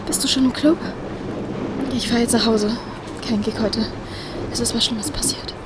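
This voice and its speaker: A young adult woman playing a distressed, stubborn young woman in a naturalistic German audio drama, speaking in a blunt, halting, colloquial way. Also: a little high, fairly even intonation, heavy background noise, natural voice. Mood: desperate.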